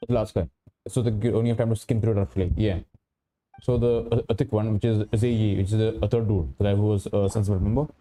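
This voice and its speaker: A man's voice: on the low side, steady tone, fast, warm tone, slight background noise. A man livestreaming a video game with casual commentary, often repeating words and restarting as he talks. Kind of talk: casual gaming commentary with a friend.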